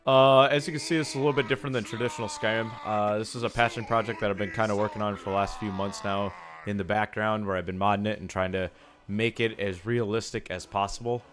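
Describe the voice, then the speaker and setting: A young man with a raspy, sore-sounding voice, casually commenting on his gameplay, often breaking into excited yelps between low mumbles. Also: fast talker, slight background noise. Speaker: gaming YouTuber.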